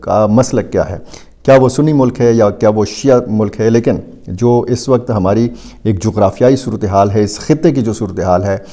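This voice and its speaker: A Pakistani man with a fairly low, very mellow voice delivers off-the-cuff news commentary. He speaks in a deliberate, halting way, often stretching out filler sounds as he explains events to his viewers. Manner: halting, deliberate, with frequent drawn-out hesitation sounds.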